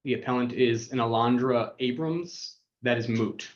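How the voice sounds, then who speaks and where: A man's voice: medium pitch, moderate pace, muffled recording, slight background noise. A man, a city attorney, reading case numbers and addresses in a formal, methodical way at a public hearing over a video call.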